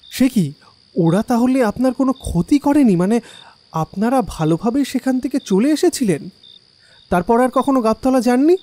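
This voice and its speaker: An actor playing a timid, frightened young man in a horror audio drama, asking things in a halting, anxious way. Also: extremely high pitch, expressive, dark tone. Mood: anxious curiosity.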